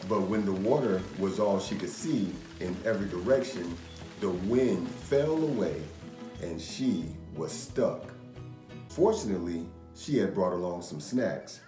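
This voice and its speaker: A man in his thirties reading a children's picture book aloud in an expressive, measured storytelling manner. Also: low voice. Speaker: storytime reader, host. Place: indoor room. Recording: roomy echo. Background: music.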